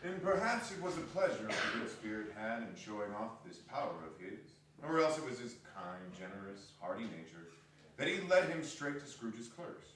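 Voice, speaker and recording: A man's voice: medium pitch, sing-song, moderate pace, a bit bright, slight background noise. A man narrating a classic story as a theatrical dramatic reading. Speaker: stage reader, narrator. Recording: very echoey hall from far off.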